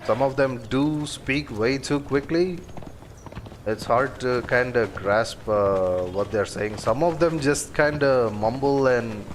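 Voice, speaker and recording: A man casually commenting on his game during a live stream, rambling along with many fillers. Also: medium pitch. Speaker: gameplay streamer.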